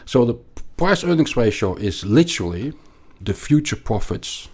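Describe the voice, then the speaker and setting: A man with a Belgian accent explaining things as an interview guest, haltingly and emphatically, often repeating words. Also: mid-range voice, big pitch swings, darkish tone. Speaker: podcast guest, investment analyst.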